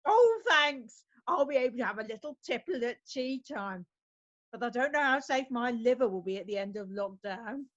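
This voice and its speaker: A woman's voice: fairly high pitch, expressive, moderate pace, lo-fi sound, close to an everyday voice. A woman playing a cheeky, gossipy older neighbour in an amateur comedy sketch.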